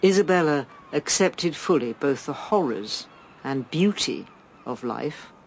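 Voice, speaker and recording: An actress playing a wise, calm sister who speaks in a measured, thoughtful way in a radio drama. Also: female voice, low voice, a little hiss or hum. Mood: calm, philosophical. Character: wise, calm sister philosophising about love and fate.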